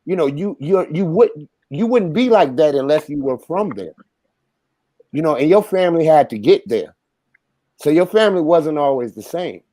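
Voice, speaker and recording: A man's voice: a little high, expressive, normal speed, warm tone, no room sound. A man in a heated group debate, lecturing and asking probing, leading questions. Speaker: debate participant.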